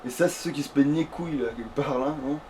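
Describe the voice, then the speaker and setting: Male let's player, voice a touch high. Loose, sweary thinking aloud over light ambient noise. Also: fast talker, poor audio. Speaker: video game let's player at the controls. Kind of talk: casual gaming chat, commentary while playing. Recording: steady background noise, amateur gameplay recording.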